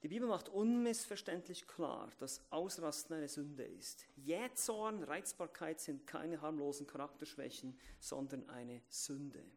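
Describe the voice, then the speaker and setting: A man delivering an emphatic sermon in a fairly high voice. He repeats key phrases and asks his listeners questions. He swings between loud, stressed lines and quiet asides. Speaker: preacher.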